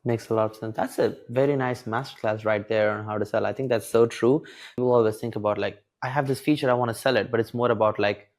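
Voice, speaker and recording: A man's voice: medium pitch, fairly even intonation, fast, darkish tone. A young man from India hosting an interview, eager and chatty, with lots of "like" fillers. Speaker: podcast host. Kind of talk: casual interview chat.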